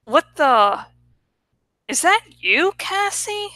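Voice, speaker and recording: A grumpy teenage boy living as a hawk, acted by a woman reading a story. The voice is bright and lifts fairly high, with wry, measured delivery. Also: big pitch swings, no room sound, studio quality, full character voice. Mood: grumpy, startled.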